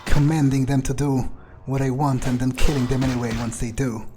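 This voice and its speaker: A man's voice: medium pitch, fairly even intonation, fairly quick, music in the background, natural voice. A man voicing a cocky, bloodthirsty newly turned vampire, thinking aloud in a profane, musing way during a video game roleplay. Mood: smug, musing. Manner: musing, arrogant, profane, hesitant pondering. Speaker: player character in a roleplay playthrough. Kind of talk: in-character video game playthrough commentary.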